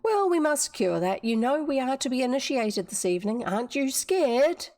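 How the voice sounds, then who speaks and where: A woman's voice: high-pitched, sing-song, normal speed, darkish tone, pitched above the performer's own voice. A woman voicing an irreverent schoolgirl in an audiobook story, blunt and breezy in manner. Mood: breezy, teasing. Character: brisk, cheeky, irreverent schoolgirl.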